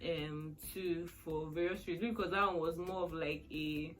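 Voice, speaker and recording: A woman's voice: sits low, fairly even intonation, normal speed, fairly bright tone, soft background music. A woman in her twenties chatting through a question-and-answer vlog, rambling and laughing often. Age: 20s. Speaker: vlogger. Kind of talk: casual Q&A chat.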